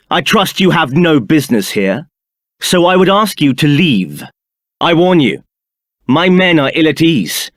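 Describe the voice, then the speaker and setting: An actor playing an arrogant, contemptuous templar captain in a video game, with a haughty, clipped, sneering delivery. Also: male voice, medium pitch, no echo, studio quality. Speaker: game character voice.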